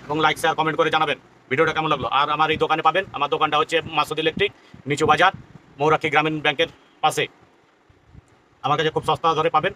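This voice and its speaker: A man's voice: a little high, bright, forceful tone, slight background noise, rough recording. A man gives an informal, explanatory product demonstration as a shopkeeper, often repeating himself. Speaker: shopkeeper presenting products on own channel.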